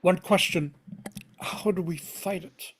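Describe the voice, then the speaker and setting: Man playing a high-voiced, stern military commander. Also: slow, slightly rough, heavily acted. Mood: commanding. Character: stern male military cleric leader giving orders. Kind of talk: script table read.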